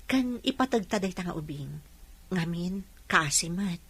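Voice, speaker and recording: An actress playing a kind, patient young wife in an Ilocano radio drama, reasoning gently. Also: female voice, lowish voice, expressive, a little slow, slight background noise.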